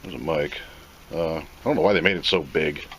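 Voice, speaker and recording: Deep-voiced man, casual unboxing commentary. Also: brisk, noisy background. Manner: plainspoken, off-the-cuff. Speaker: product reviewer.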